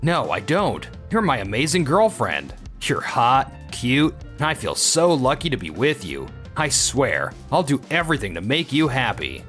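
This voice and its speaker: A man's voice: medium pitch, wildly swooping pitch, moderate pace, hardly any pauses, a bit bright, soft background music. A man playing an arrogant, boastful young office boss in a manga dub, with a smug, dramatic, sneering manner. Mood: disbelieving, pleading. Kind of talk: animated manga dub dialogue.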